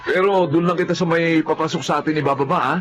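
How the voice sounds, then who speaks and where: An actor plays an easygoing male fish vendor. His voice is a little high for a man, and he talks in a casual, good-natured, neighbourly way in a drama scene. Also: fairly even intonation, fast, crowd noise, natural voice. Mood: friendly.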